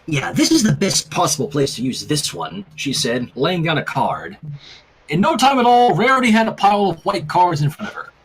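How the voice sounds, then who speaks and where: Man, husky, fairly high voice, very swooping intonation, expressive story reader, chatty asides. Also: normal speed, poor audio. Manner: expressive, acts out dialogue while narrating. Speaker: fanfic reader and stream co-host. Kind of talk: animated storytelling read aloud with casual chat.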